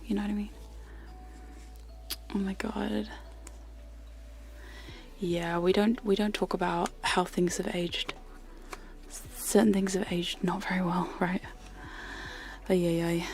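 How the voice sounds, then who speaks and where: A woman's voice: mid-range voice, level tone, slow, creaky, long pauses. A young woman whispering close to sensitive microphones in a casual, giggly ASMR chat with trigger sounds, often poking fun at herself. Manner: soft whisper, giggly and self-deprecating. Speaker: ASMR streamer.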